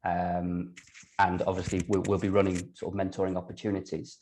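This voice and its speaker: Hoarse, raspy man on a call. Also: low-pitched, completely flat delivery, fairly quick, darkish tone, a little hiss or hum, low-quality recording. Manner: tentative, hedging. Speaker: workshop participant. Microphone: video call.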